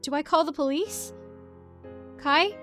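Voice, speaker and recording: A woman voicing an anxious, halting young woman in a dramatic horror story. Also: sits high, a bit bright, soft background music, voice pushed up. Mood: frightened, pleading. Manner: halting, pleading. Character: anxious lovestruck young woman texting her boyfriend.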